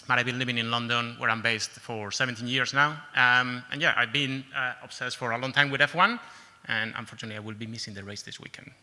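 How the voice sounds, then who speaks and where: A man from Spain, a software engineer introducing himself in a relaxed conference talk with "um" and "yeah" fillers. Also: medium pitch, fast. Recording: long hall reverb.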